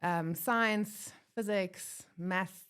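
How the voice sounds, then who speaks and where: A woman's voice: mid-range voice, very slow, fairly bright tone, clean tone. A woman from Africa, telling her story as a guest in a casual interview, laughing often. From born in Germany, grew up in South Africa. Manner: chatty, laughs often, acts out quotes. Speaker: guest, airline pilot.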